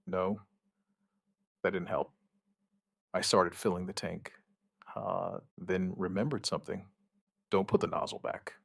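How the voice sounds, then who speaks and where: A man playing an outbreak survivor who gives a wry, weary witness account in an audio drama, in short, halting, understated phrases. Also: low voice, level tone, gravelly, slightly rough, no echo, studio quality, full character voice. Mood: tense, dry. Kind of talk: scripted first-person audio drama narration.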